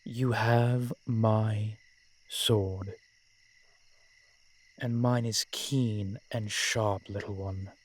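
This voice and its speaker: Centuries-old vampire general, played by a man, protective and proud, low register, very unhurried courtly delivery for intimate roleplay audio. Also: steady tone. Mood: teasing, solemn. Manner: measured, archaic, courtly phrasing with dramatic pauses. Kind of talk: roleplay audio drama, addressed intimately to one listener.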